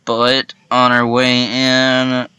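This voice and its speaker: A man co-hosting a storytelling series, casually improvising as he goes, on a slightly muffled low-fi microphone. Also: monotone, very slow, pushed and bright, smooth, no echo.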